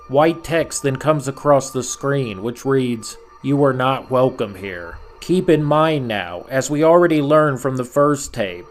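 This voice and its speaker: Measured male horror commentary narrator, music behind. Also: medium pitch. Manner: measured, storytelling delivery with rhetorical asides. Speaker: video essay host and narrator.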